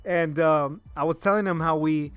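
A man hosting a podcast in casual chat, relaxed and joking, laughing often. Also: on the high side, moderate pace, smooth, dry recording, soft background music. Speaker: podcast host.

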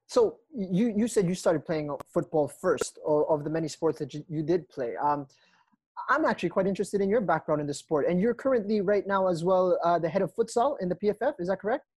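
A man's voice: sits high, lively intonation, a little fast, darkish tone, slightly rough, no echo. A man interviewing a guest in casual chat, reacting and asking questions. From Philippines and Indonesia.

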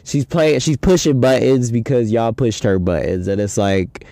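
A man's voice: medium pitch, normal speed, vocal fry, dark tone, dry recording. A young man hosting a casual solo podcast, talking in a loose, rambling, slangy way with a stuffy nose from a head cold. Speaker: podcast host.

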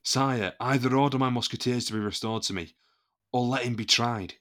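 A man's voice: medium pitch, normal speed, a bit bright, lightly acted. A man playing a proud, loyal captain of the musketeers in a period audio drama, speaking formally and with indignant emphasis. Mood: defiant.

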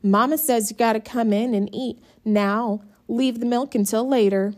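A woman's voice: a little high, steady tone, normal speed, darkish tone, close to an everyday voice. A woman voicing a small, obedient little sister with plain country speech.

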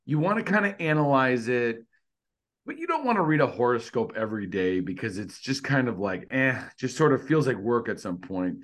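A man's voice: medium pitch, sing-song, normal speed. A middle-aged man co-hosting a casual discussion, musing tentatively with lots of fillers. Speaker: podcast co-host.

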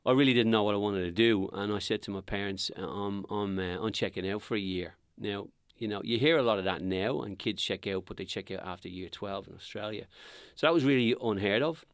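A man's voice: fairly low pitch, a little fast, few pauses, smooth, no room sound, studio quality. A middle-aged man from Dublin with a Dublin accent, telling his life story as a podcast guest with lots of ums and restarts. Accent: Dublin Irish. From Ireland. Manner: rambling, many ums and restarts. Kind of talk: casual podcast interview.

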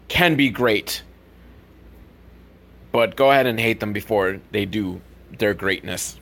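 A man's voice: medium pitch, a bit bright. A man hosting a podcast, giving a casual, profane and sarcastic comedic recap of films. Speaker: podcast host. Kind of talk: comedic film recap commentary, casual rant.

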